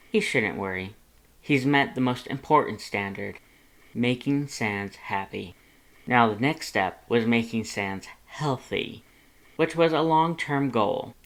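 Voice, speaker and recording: A man's voice: on the low side, fairly bright tone. A man reading scripted story narration as a fan fiction narrator.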